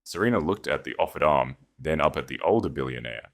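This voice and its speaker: A man's voice: rumbling low, lively intonation, brisk, a bit bright. A man reading scripted fiction as an audiobook narrator, in a dramatic storytelling style.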